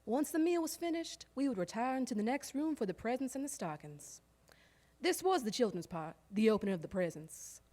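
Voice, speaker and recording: A woman's voice: fairly high pitch, sing-song, fairly quick, darkish tone. A woman playing a harried, proud old-time household woman, telling a wry, emphatic theatrical monologue that lingers over its lists. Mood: relishing. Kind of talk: theatrical storytelling monologue on stage. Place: live stage performance in a recreation hall.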